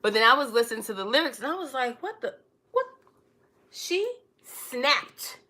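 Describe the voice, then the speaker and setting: Bright-voiced chatty woman streamer. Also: high-pitched, lively intonation, moderate pace. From born in Trinidad, raised in New York City. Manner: chatty, laughs often, calls viewers darling and baby. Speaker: livestream host. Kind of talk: casual livestream chat.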